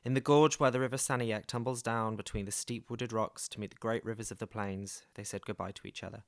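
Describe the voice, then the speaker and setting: Male reader, slightly low pitch, calm measured narration. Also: creaky. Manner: calm even storybook reading. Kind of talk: reading a novel aloud.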